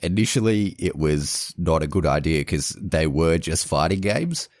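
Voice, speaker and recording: A man co-hosting a podcast in casual chat, measured and thinking aloud as he gives his views. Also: a bass voice, lively intonation, a little mellow, no room sound, clean studio sound. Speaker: podcast co-host.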